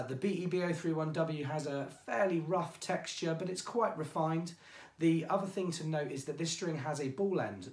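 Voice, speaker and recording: An English man presenting ukulele strings in a chatty, informal way, his voice going hoarse. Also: on the high side, echoey room. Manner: chatty, informal, self-deprecating. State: losing his voice. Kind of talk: product demonstration.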